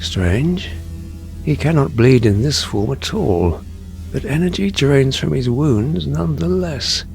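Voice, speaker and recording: A man acting a dignified older mutant leader in an audio drama, speaking formally and deliberately with calm authority. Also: medium pitch, vocal fry, soft background music. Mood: grave, composed.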